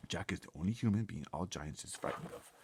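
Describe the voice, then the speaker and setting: A man voicing a kindly, excitable friendly giant from a storybook, speaking conspiratorially in muddled folksy grammar. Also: low voice, fast talker, creaky, breathy edge, exaggerated voice. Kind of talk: children's storybook read-aloud.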